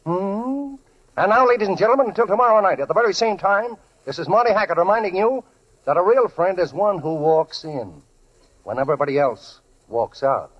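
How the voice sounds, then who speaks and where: A man's voice: sits high, lively intonation, brisk. An actor playing a brash, wisecracking male gossip columnist in 1940s radio comedy, with snappy, sardonic patter. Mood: mocking, showy. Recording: dull vintage 1940s radio recording.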